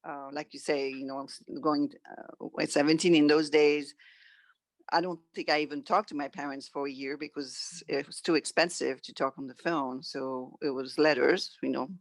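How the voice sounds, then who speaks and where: A middle-aged woman from France with a French accent, reflecting conversationally as an interview guest in halting, thoughtful phrases. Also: low-pitched, steady tone, no room sound.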